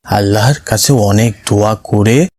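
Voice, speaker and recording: A man's voice: on the low side, unhurried, a little mellow, dry recording. A man voicing a patient, devout father who explains and tells stories to his son in an Islamic cartoon. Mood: solemn storytelling. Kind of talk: Islamic cartoon dialogue, storytelling.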